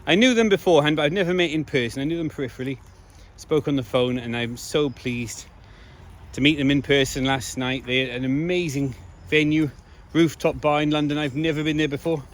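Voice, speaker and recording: A slightly hoarse man speaking in a casual, emphatic monologue to viewers, repeating key phrases for stress. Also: a little high, a little fast. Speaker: video blogger.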